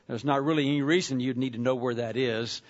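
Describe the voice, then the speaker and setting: A man from far west Texas, a visiting convention leader giving a folksy, conversational church address with frequent pauses. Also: mid-range voice, steady tone, dull audio.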